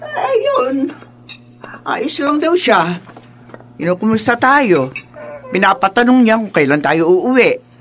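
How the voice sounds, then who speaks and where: A woman's voice: a little high, big pitch swings, slow, long pauses. A woman playing a caring, fretful Filipino mother in a radio drama, fussing and exclaiming over her child. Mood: chatty.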